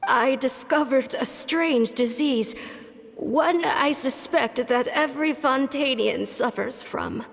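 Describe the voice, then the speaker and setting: A frail old witch-doctor in a game, weary and wry, speaking slowly through sighs and coughs. Her voice is gravelly and slightly high. It rings with a long hall reverb over soft music. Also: exaggerated voice. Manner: slow, weary, self-mocking. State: sickly, sighing and coughing.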